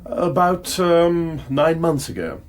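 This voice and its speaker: Radio drama: affable gentleman detective, upper-class English, lightish pitch, very animated, drily wordy. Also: slow, a little hiss or hum. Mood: subdued, candid. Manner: airy, wordy, drily teasing. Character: nimble-witted aristocratic gentleman sleuth, affably self-deprecating. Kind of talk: British radio drama acting.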